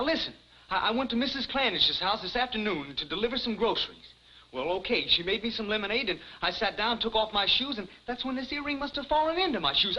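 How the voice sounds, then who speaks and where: An earnest, flustered young American in old TV comedy style. His boyish voice is high and jumps about as he stammers, over a dull, slightly noisy 1950s soundtrack. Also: bright, forceful tone, heavily acted. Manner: stammering, pleading.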